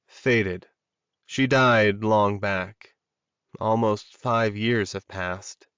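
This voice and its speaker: Man playing a solemn, measured male werewolf alpha. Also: on the low side, fairly bright tone, clean tone, dry recording, muffled recording. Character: reserved, steady male werewolf alpha, grieving yet supportive.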